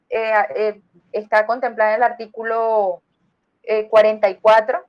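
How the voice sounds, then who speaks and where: A woman with a Venezuelan accent, a university lecturer giving an online class and explaining points to her students with everyday examples. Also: a little high. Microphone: voice chat on a phone or computer. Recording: dull lo-fi voice chat audio.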